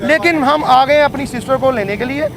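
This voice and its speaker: A man's voice: very high voice, brisk, crowd noise. A man with a Pakistani accent giving a defensive, insistent unscripted statement to reporters. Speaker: relative speaking to media.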